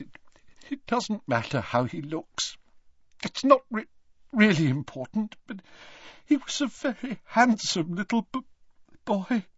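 A man's voice: sits high, a bit bright, no room sound, muffled recording, voice pushed up, full character voice. A man voicing a tearful middle-aged mother who stammers and halts. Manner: halting, stammering through tears.

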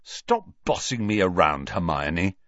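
Storybook role: nervous, grumbly teen lad played by a man, lively intonation, slightly dull recording. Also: deep voice, a little slow, no echo, exaggerated voice. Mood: irritated. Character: moody, nervous teenage schoolboy athlete, easily startled.

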